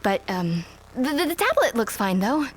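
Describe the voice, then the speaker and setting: A woman performs a sardonic young guard in a supernatural audio drama. Her voice sits high and swoops widely as she goes. She is snappy and sarcastic, with a nervy edge. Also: very animated, moderate pace, breathy edge. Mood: nervous.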